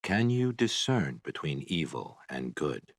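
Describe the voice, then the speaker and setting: An actor playing a menacing, condescending villain who taunts and commands in a survival horror game. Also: male voice, a bass voice, big pitch swings, a little slow, exaggerated voice. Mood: ominous. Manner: taunting, domineering.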